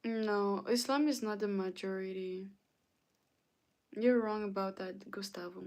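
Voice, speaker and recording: Slow-talking woman chatting on a livestream. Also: mid-range voice, fairly even intonation. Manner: slow, chatty, often drops to a soft whisper. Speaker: livestream host. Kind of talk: casual livestream chat reading comments.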